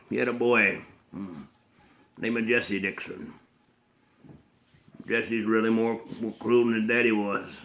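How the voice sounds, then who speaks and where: A man playing a slow, simple, haunted backwoods man with a rural Southern US accent, delivering a halting, plainspoken dramatic monologue in a mumbled voice with throaty grunts and hums. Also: lowish voice, a bit bright.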